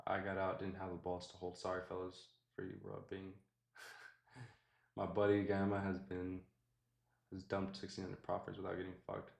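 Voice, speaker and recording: A young man with a low, deep voice runs a live trading stream. He talks in a loose, laid-back way to his chat and thinks out loud at his desk mic, often trailing off into a soft mutter. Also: completely flat delivery, normal speed, vocal fry, pauses often. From Mexican family. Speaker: livestream host trading futures. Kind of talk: casual live trading commentary.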